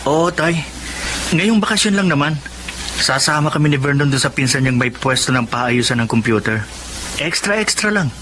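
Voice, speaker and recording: High-voiced, eager young man. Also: lively intonation, normal speed, bright, forceful tone, a little hiss or hum. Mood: earnest, respectful. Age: young adult. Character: eager, optimistic young provincial man chasing big dreams. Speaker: radio drama actor. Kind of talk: radio drama acting.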